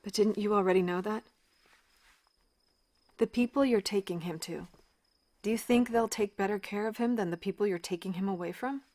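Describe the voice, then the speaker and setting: An actress playing a gentle, probing children's doctor in an audio drama, soft-spoken, hesitant and full of questions. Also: female voice, medium pitch, normal speed, long pauses, natural voice. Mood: careful, probing. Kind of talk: naturalistic audio drama acting.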